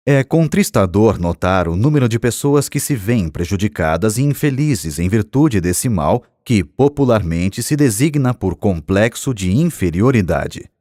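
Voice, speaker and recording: A man with a Brazilian accent narrating an audiobook in a measured, expressive reading style. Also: fairly low pitch, dry recording, clean studio sound.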